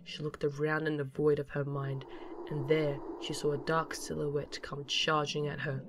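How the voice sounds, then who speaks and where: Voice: mid-range voice, flat delivery, moderate pace, noisy background. A narrator reading a scripted story for a fan audio drama.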